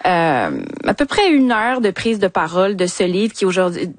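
A woman's voice: medium pitch, animated, moderate pace, no echo. A woman in an unscripted panel discussion, speaking as a reflective commentator who hesitates and repeats words as she thinks. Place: dry studio.

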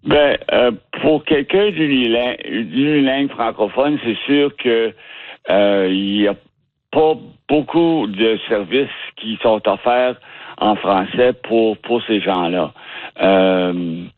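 A man from the Ottawa region with a Canadian French accent, a guest who talks haltingly and restarts words as he searches for them. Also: fairly low pitch, dry recording. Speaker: interview guest. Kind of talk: casual phone interview. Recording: tinny dry phone line.

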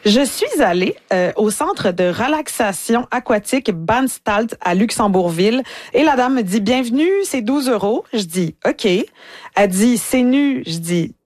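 A woman's voice: on the high side, lively intonation, a little slow, a little mellow, dry recording, clean studio sound. A Canadian woman with a Quebec French accent, a touring performer telling a comic story and acting out the voices of people she quotes. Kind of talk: comic anecdote in conversation.

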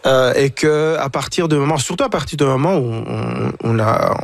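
A man, a guest on a talk show, speaking reflectively and haltingly, often repeating words as he searches for them. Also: medium pitch, creaky, dry recording, studio quality. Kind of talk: reflective conversation.

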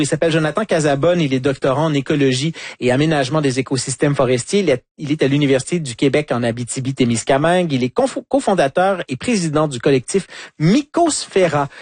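A man's voice: mid-range voice, darkish tone, no room sound. A man with a Quebec French accent hosting a live conversational radio show, emphatic and fond of repeating words.

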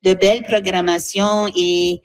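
Lower-pitched woman. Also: level tone, unhurried. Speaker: seniors' association representative, interview guest. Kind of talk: radio interview, spontaneous explanation.

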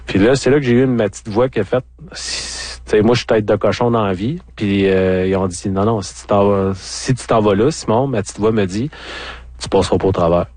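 Low-voiced Quebec man telling his story. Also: steady tone, brisk, a little mellow, no room sound. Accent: Quebec French. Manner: candid, colloquial. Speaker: interview guest, former farmer. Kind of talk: conversational personal storytelling.

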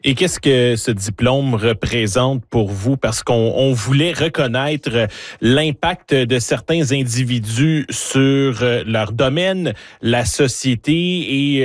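A man's voice: medium pitch, no room sound, clean studio sound. A man with a Canadian French accent working as a news interviewer, asking conversational questions with some hesitant rephrasing.

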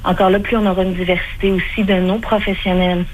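A woman's voice: lowish voice, level tone, fast talker, slight background noise, poor audio. A middle-aged woman with a Québécois accent, a clinical spokesperson answering radio interview questions with many fillers and restarts. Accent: Québécois French.

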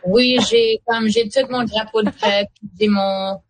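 A woman's voice: a little high, fairly even intonation, slow, dry recording, poor audio. A woman with an Acadian French accent chatting as a guest, hesitant and repeating words cheerfully. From Acadia, Canada. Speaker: radio guest. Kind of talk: casual chat.

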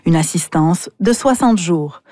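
Lady reporter, lowish mellow voice, reads her script calm. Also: a little slow, no echo, clean studio sound. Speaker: radio reporter. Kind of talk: scripted news report narration.

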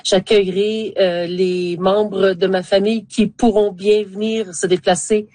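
Older woman, mellow tone, dry sound, hesitant guest. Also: medium pitch, level tone, measured pace, no echo. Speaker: panel guest. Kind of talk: hesitant conversational answers.

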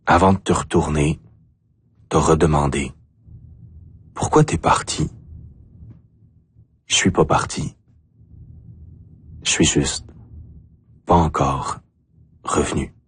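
A man with an Acadian French accent reciting spoken-word poetry deliberately, in short phrases with pauses. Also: extremely low pitch, breathy edge. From Acadia, New Brunswick, Canada. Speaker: poet.

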